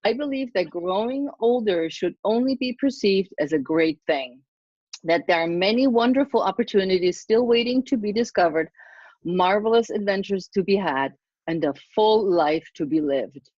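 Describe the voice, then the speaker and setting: A middle-aged woman from Germany hosting a podcast interview, excited and effusive as she introduces her guest. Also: mid-range voice, moderate pace, no echo. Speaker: podcast host. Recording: dull dry lo-fi sound.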